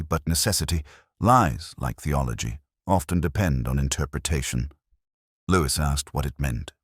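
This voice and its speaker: A man playing a world-weary, erudite police inspector, narrating a mystery in brooding, literary, measured phrases. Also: extremely low pitch, no room sound, clean studio sound, exaggerated voice. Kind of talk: scripted first-person mystery narration.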